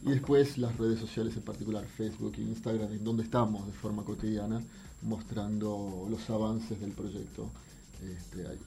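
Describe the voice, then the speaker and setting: Low-voiced Uruguayan man, halting and thoughtful. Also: fairly even intonation, creaky, dark tone. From Uruguay, raised abroad in France, Venezuela and Argentina. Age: middle-aged. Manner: halting, thoughtful, repeats words as he searches. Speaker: interview guest, visual artist. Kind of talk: explanatory interview answers. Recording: faint background noise, drifting off mic.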